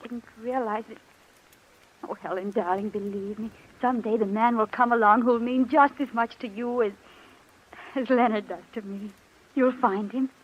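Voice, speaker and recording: An actress playing a sweet, soft, easily hurt young newlywed, gentle and gushing, in old-time radio drama style. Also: female voice, a little high, steady tone, moderate pace, natural voice. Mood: tender, earnest. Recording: dull vintage radio recording.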